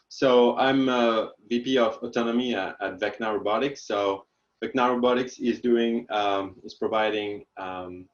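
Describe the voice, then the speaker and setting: A man from France answering as a guest on a video-call panel, hesitant and filling gaps with uh. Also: fairly low pitch. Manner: hesitant, frequent uh fillers. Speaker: panel guest, robotics executive. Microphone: video call webcam. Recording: dull lo-fi webcam audio.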